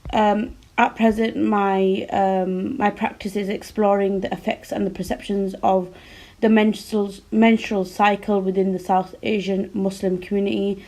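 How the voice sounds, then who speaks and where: A British-accented woman whose first language is Urdu speaks as a guest artist. Her delivery is measured and formal, the pitch staying fairly flat and even, mid-range for a woman. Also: poor audio. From United Kingdom. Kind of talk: prepared statement in an interview.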